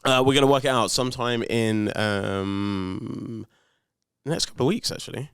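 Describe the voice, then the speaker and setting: Male podcast co-host, around 40, mid-pitched, bantering casually, close dry sound. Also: normal speed, fairly bright tone, clear, resonant tone, no room sound. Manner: teasing, bantering.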